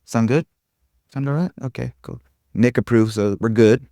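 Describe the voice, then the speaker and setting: A man's voice: mid-range voice, moderate pace, creaky, dark tone, dry recording, clean studio sound. A man giving an informal spiritual talk to a group as a meditation teacher, rambling and irreverent, with casual, self-deprecating humor. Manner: irreverent, casual, frequent swearing.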